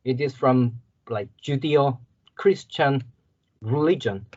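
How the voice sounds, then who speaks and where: A man's voice: medium pitch, slow pace, darkish tone, dry recording, muffled recording. A man from South Korea with a Korean accent, giving a guest lecture as a university lecturer and explaining each term step by step. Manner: explanatory, repeats key terms, frequent "okay" and "so".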